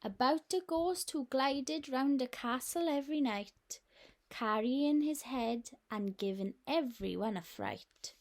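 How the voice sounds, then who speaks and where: A woman reading a rhyming picture book aloud, gently and expressively. Her voice sits a little high, and she takes a slow, unhurried pace. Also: expressive. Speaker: nursery teacher.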